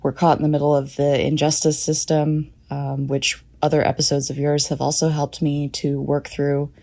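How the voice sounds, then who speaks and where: A woman giving a listener message in a sober, steady way. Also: low voice, normal speed, gravelly.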